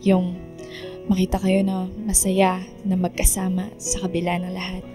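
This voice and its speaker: A woman's voice: lowish voice, completely flat delivery, normal speed, warm tone, faint music behind, lightly acted. A woman playing a remorseful, self-sacrificing young woman, apologetic and earnest, in radio drama dialogue. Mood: tender resignation.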